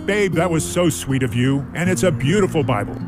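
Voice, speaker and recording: A man playing a kindly, gentle giant lumberjack in a children's radio drama. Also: a little high, expressive, fairly quick, over music, natural voice. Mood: kind, grateful. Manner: gentle, reassuring.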